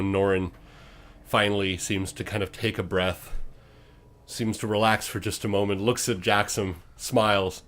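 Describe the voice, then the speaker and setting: A man with a fairly low voice runs a tabletop game as host and game master. He narrates dramatically in suspenseful phrases, with clear ambient noise behind him. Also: fairly bright tone.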